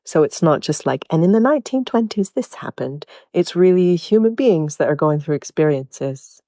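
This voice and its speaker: A woman from Hungary, a native Hungarian speaker, explaining her role in an interview with a thoughtful, measured manner. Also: deep voice, lively intonation, warm tone, no echo, clean studio sound. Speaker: actor. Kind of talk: interview answers.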